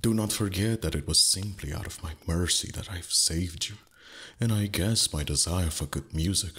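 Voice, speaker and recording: A man voicing a haughty, teasing male dragon in intimate ASMR roleplay, husky and breathy, condescending with flustered pauses. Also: low-pitched, pushed and bright, heavily acted. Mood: scornful, defensive.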